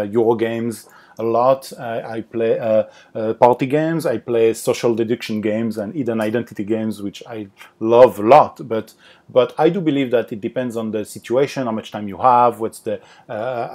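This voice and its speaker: A man's voice: medium pitch, darkish tone. A man with a French accent, a game designer talking as a podcast guest, with frequent fillers and restarts. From France. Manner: hesitant, many fillers and restarts. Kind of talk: podcast interview.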